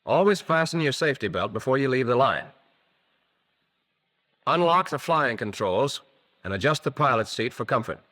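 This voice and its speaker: An American man narrating a scripted 1940s instructional film in a crisp, methodical manner, on a vintage film soundtrack. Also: medium pitch, lively intonation, moderate pace, long pauses, pushed and bright.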